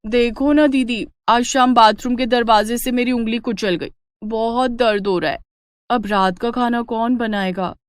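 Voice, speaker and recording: A woman's voice: a little high, fairly even intonation, a little slow, smooth, dry recording, voice pushed up, close to an everyday voice. A woman voicing a whiny, protesting young daughter-in-law who argues to get out of kitchen work in a family story. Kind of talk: Hindi family story dialogue.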